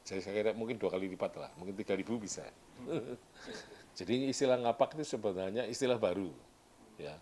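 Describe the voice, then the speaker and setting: A man speaks in a very deep voice, explaining things as a scholar in an interview. He thinks aloud, restarting phrases and adding tag words, over a faint background hum. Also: a little fast, dark tone. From Banyumas region, Central Java. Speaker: language scholar and dictionary compiler. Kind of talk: documentary interview.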